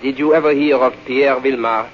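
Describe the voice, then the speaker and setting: A man's voice: mid-range voice, steady tone, brisk, natural voice. Man as a grave, courteous French patriot. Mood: earnest, grave. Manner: formal, earnest. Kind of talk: 1940s radio acting. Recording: dull, noisy 1940s radio recording.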